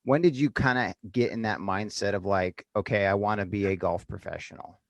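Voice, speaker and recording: A man's voice: lowish voice, brisk, no echo. A male podcast host asking a guest curious, probing questions in a casual interview.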